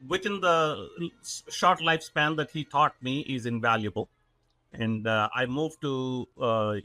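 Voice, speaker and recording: A man's voice: medium pitch, sharp tone, no room sound. A man in his forties from South India with a South Indian accent, a company CEO talking earnestly as a podcast guest, with many fillers and restarts. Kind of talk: casual interview talk.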